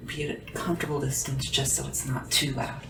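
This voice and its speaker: A low, hushed voice whispers through a noisy lo-fi recording, a little away from the mic. It is a woman playing a humble royal sleep assistant in an ASMR roleplay, gentle, polite and a bit hesitant. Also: little pitch movement, a little fast, vocal fry. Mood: soothing, tentative. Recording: noisy lo-fi home recording, slightly distant mic.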